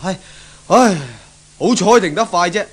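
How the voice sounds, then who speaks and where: An actor playing a cautious, anxious young boyfriend in a 1970s radio drama, flustered and protesting, with a Hong Kong accent. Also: male voice, sits high, unhurried. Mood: shaken, reproachful. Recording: noisy old radio recording.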